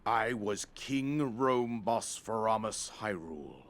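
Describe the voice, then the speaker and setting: Solemn old king for a video game, really low and slow, stately and grave. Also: lively intonation. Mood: grave, sorrowful. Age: older. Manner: grave, stately, archaic phrasing. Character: solemn old king, last leader of a fallen kingdom. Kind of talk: dramatic game cutscene acting.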